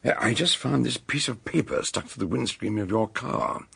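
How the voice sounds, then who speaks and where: An actor playing an eccentric, wordy older British detective doctor in a radio drama, genial and courteous, with a gravelly, husky voice. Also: male voice, mid-range voice, a bit bright, no room sound, full character voice. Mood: explanatory. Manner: wordy, deliberate, old-fashioned courtesy.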